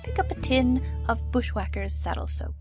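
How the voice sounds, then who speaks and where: An actress playing an earnest pitchwoman, reading an old-time radio commercial in a polished, salesy way. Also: very animated, normal speed. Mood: smooth, promotional. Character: earnest pitchwoman for a mock cowboy product. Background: music bed.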